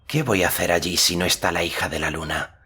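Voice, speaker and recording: A man's voice: low-pitched, fast, creaky, pushed and bright, a little hiss or hum, heavily acted. A man voicing a moody, weary young boy from a fantasy audiobook, listless and hesitant in manner. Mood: listless, sullen.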